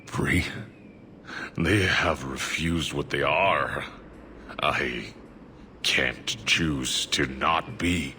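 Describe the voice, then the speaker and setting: A huge, devout horned warrior mage from a fantasy video game. The voice is extremely deep and slow, with a bright, forceful edge. The phrasing is halting, stoic and terse. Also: male voice, a little hiss or hum, heavily acted. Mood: resolute. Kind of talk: video game cutscene acting.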